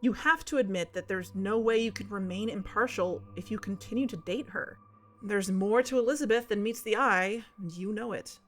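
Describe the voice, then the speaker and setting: A woman's voice: mid-range voice, moderate pace, fairly bright tone, lightly acted. An actress playing a skeptical, anxious amateur investigator in a naturalistic fiction podcast, candid and conversational in her doubts.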